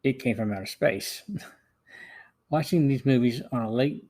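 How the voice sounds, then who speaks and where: Older-ish guy hosting a podcast, husky voice, reading his review off a script. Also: mid-range voice, a little slow, a little mellow. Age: middle-aged or older. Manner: reads from a script, stumbling occasionally. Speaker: podcast host.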